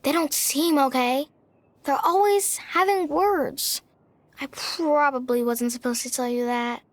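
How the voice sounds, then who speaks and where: A woman's voice: high voice, a little slow, a little hiss or hum. A woman voicing an anxious, earnest young girl in a video game, confiding her worries. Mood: worried.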